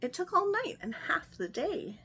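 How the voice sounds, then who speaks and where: A woman hosting a children's story time, reading a picture book aloud in a gentle, sing-song way. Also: very high voice, big pitch swings, moderate pace.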